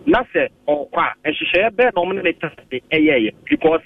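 A man with a Ghanaian accent calling in to a radio sports show, arguing his point haltingly and mixing Twi and English. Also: a little high, sing-song, no room sound. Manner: argumentative, halting, repeats himself, mixes Twi and English. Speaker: phone-in caller. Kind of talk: heated opinion on a radio call-in. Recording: dry tinny phone line, distant.

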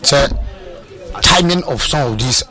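A man's voice: mid-range voice, lively intonation, measured pace, over a noisy crowd. A man with a Ghanaian accent giving football analysis on a sports talk show, hesitant, with many fillers and restarts, switching into Twi. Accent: Ghanaian English.

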